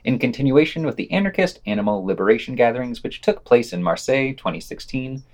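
A man's voice: mid-range voice, expressive, fast, a little mellow. A man hosting a podcast, reading scripted news summaries and quoted passages aloud. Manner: reads quotations aloud, announcing quote and unquote.

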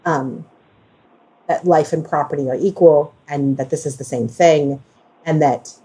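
A woman's voice: low voice, a little slow, dark tone, poor audio. A woman hosting a casual conversational discussion, speaking emphatically and repeating phrases for stress. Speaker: podcast host.

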